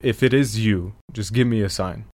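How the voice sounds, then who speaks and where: A man's voice: fairly low pitch, normal speed, darkish tone, no echo. A young man from Syria with an American accent, whose first language is Armenian, talking thoughtfully in a casual studio conversation and searching for his words. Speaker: podcast guest.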